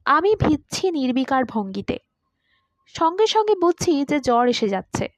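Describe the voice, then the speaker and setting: A woman reading a literary short story aloud in an expressive, dramatised narrating style. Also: high voice, normal speed, no room sound. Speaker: story reader.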